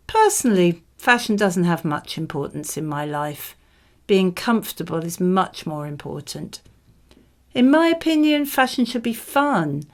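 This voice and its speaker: A woman reading scripted model answers for a speaking exam in a measured, expressive way. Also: mid-range voice.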